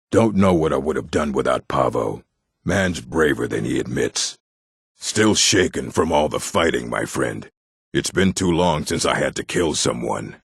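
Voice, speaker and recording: A man voicing a gruff, proud orc warrior and smith in a fantasy video game, blunt and stern, with a gravelly, husky voice. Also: a bass voice, dry recording, studio quality, exaggerated voice. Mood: solemn, earnest, then wistful, subdued. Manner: blunt, stern, clipped phrasing.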